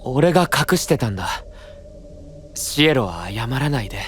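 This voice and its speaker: A man playing an earnest, troubled young knight of a secluded kingdom in a Japanese fantasy voice drama, soft-spoken, measured and sincere. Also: medium pitch, sing-song, soft background music, close to an everyday voice. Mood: despairing.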